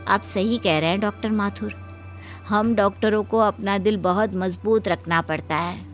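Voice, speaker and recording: A woman voicing a sympathetic, supportive male doctor in a cartoon moral story, speaking gently. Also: medium pitch, steady tone, normal speed, soft background music, natural voice. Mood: sympathetic, resolute.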